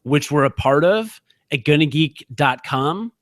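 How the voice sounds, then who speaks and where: A man's voice: a little high, animated, measured pace, dry recording, clean studio sound. A man co-hosting a casual podcast, rambling with many restarts and fillers as he recommends things. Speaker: podcast co-host.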